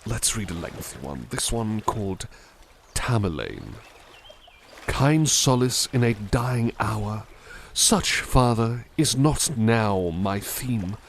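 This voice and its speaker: A man voicing a kind, polite butler in an ASMR roleplay, speaking softly and formally and reciting poetry with dramatic flair. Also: on the low side, very animated, takes it slowly, vocal fry, slight background noise, exaggerated voice. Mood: gentle, chatty. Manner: polite, formal and soft-spoken; dramatic, lilting recitation of verse. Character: gentle, kindly adult male butler.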